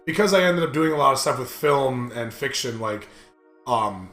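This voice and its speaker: A man's voice: mid-range voice, lively intonation, normal speed, fairly bright tone. A young American man hosting a gaming video, chatting casually and rambling through anecdotes in a crude, joking way. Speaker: host of a gaming video series. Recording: roomy echo, music underneath.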